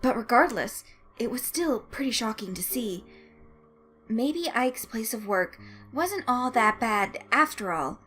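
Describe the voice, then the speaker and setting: A woman narrates a story with very lively intonation. Her voice sits on the high side, and the scripted reading is expressive, with music underneath. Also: measured pace.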